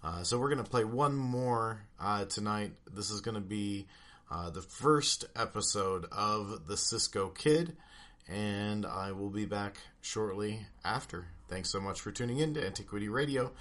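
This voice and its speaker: A man's voice: lowish voice, measured pace, a bit bright. A man hosting a radio show in a casual, chatty style. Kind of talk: casual scripted-free hosting chat.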